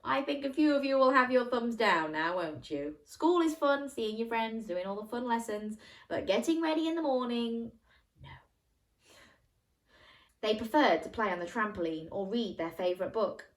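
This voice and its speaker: A woman reading a children's story aloud, animated and playful, breaking off to ask the listener questions. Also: a little high, normal speed. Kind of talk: interactive bedtime story reading for young children.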